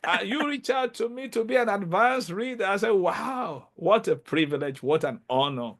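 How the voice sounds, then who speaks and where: A man hosting an interview podcast, speaking with enthusiasm and emphasis, often halting and repeating words. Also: sits high, lively intonation, fairly bright tone. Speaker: podcast host.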